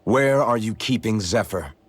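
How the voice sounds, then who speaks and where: An actor playing an earnest, resolute young rebel fighter in a fantasy anime game, speaking plainly. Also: male voice, fairly low pitch, lively intonation, normal speed, slightly rough. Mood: measured, wary. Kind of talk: English-dubbed anime game voice acting.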